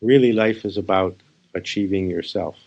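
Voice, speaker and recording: Male meditation guide: low-pitched, croaky fry, unhurried phrasing with pauses, spiritual teacher delivery. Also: darkish tone, dull audio. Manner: unhurried, pausing between short phrases. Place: live session.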